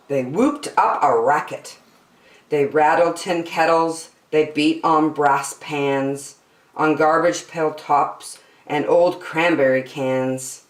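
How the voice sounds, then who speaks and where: A woman with a lowish voice reads children's verse aloud. Her delivery is slow, rhythmic and expressive. She sounds a little away from the mic in an echoey room. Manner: deliberate, rhythmic. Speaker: storyteller. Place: indoors, reading over a picture book. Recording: roomy echo, distant mic.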